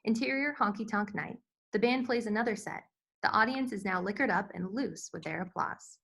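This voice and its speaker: A woman's voice: medium pitch, normal speed, creaky, a bit bright. A woman reading stage directions as narrator in scripted narration at a table read.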